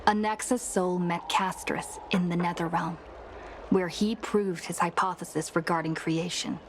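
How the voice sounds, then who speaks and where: A woman's voice: lowish voice, a little hiss or hum, lightly acted. A woman playing a solemn storyteller, narrating an epic fantasy tale for a video game.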